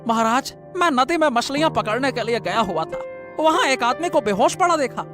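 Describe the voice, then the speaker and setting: A man playing an excitable, chatty, humble fisherman in a Hindi story drama, often repeating his words. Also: extremely high pitch, moderate pace, over music. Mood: deferential, urgent. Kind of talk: Hindi moral-story audio drama acting.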